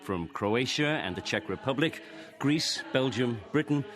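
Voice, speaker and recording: A man's voice: medium pitch, animated, unhurried, a bit bright. A man reading scripted narration as a radio presenter.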